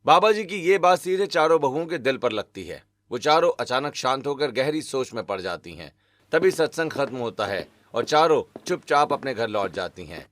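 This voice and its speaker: A man's voice: mid-range voice, big pitch swings, moderate pace, a bit bright. A man narrating a scripted family moral story as a storyteller.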